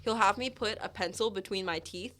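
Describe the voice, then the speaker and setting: A woman's voice: mid-range voice, a little fast, sharp tone, soft background music. A young woman from Maryland with a slight lisp, chatting casually as a podcast guest, with many filler words and frequent laughs. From Maryland, USA. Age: young adult. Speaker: guest singer-songwriter.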